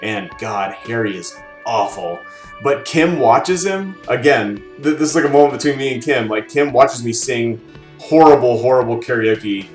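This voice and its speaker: Male podcast co-host, echoey room, medium pitch, casual game storytelling. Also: normal speed. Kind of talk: casual chat about video games. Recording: echoey room, faint music behind.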